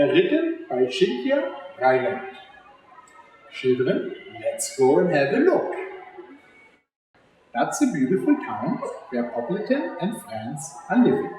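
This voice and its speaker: Slow, lively man reading in echoey room. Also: fairly high pitch. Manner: expressive, addresses children directly. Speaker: executive chef reading a children's story for a charity. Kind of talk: read-aloud storytelling for children. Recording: very echoey room, faint background noise.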